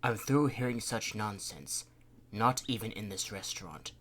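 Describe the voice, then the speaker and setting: A man voicing a stern, dismissive male mayor in a lowered voice. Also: measured pace, fairly bright tone, a little hiss or hum. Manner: curt, dismissive, lower-pitched.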